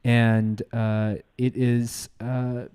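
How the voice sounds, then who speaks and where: A man co-hosting a call-in show in a conversational, polite, halting way. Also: medium pitch, level tone, dark tone, clean tone.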